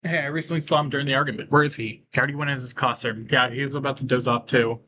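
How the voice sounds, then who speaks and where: A man's voice: medium pitch, fairly even intonation, rapid-fire, sharp tone, a little hiss or hum. A man reading visual novel dialogue aloud in character, as a gaming video host. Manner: acts out characters' lines while reading.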